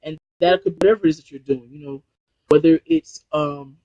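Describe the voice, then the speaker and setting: Man, high voice, New Jersey, casual live stream, rambling and repetitive, motivational, dry close sound. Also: fairly even intonation, brisk, no echo, lo-fi sound. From New Jersey, USA. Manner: rambling, repeats and restarts phrases, motivational. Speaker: online business trainer. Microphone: Bluetooth headset.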